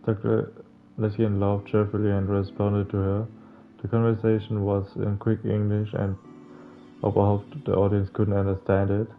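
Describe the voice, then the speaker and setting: A young man with a lowish, mellow voice reads a visual novel aloud for a playthrough. His delivery is very flat and casual, with stumbles along the way. Faint music plays behind him. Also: phone-quality sound. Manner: halting, stumbling reading with casual slangy interjections. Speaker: gaming streamer. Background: faint game music.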